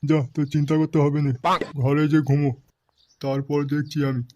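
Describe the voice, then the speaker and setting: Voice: medium pitch, flat delivery, normal speed, lightly acted. A performer voicing a boastful, vengeful tough friend in a comedy cartoon, blustering and crude. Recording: dull lo-fi sound, faint noise.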